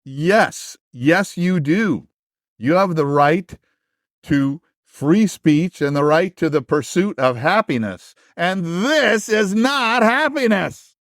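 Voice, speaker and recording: A woman's voice: lowish voice, animated, slow pace, no room sound, clean studio sound. A woman playing a firm, principled book in a musical puppet show. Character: firm, principled book puppet asserting free-speech rights.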